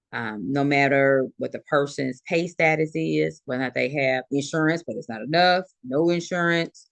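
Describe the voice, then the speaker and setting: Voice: mid-range voice, no room sound. A nursing instructor giving a recorded classroom lecture in a conversational way, restating each point and using many fillers.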